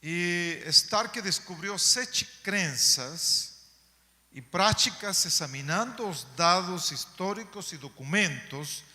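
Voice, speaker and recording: A man from Chile gives a university lecture in a second language, his Chilean Spanish accent clear throughout. He speaks slowly and leaves plenty of pauses. His voice is bright and forceful, with long reverb from a large hall. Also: sits high, animated. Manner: unhurried, pausing often, with frequent "ah" and "não?". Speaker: guest lecturer. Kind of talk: academic talk to a student audience.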